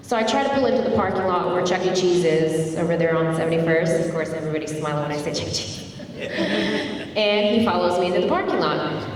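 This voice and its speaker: A woman's voice: lowish voice, brisk. Middle-aged woman telling a story in a hall. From Tulsa, Oklahoma, United States. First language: Turkish. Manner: conversational, animated when retelling events. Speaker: speaker at a community dialogue event. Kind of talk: personal storytelling speech. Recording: echoey hall, distant mic, faint background noise.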